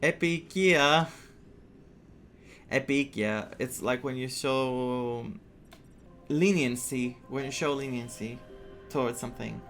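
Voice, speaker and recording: A man's voice: medium pitch, takes it slowly, long pauses, fairly bright tone. A man who is a native Greek speaker doing casual playthrough commentary, reacting aloud and explaining words as he reads them. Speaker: gaming streamer. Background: game music.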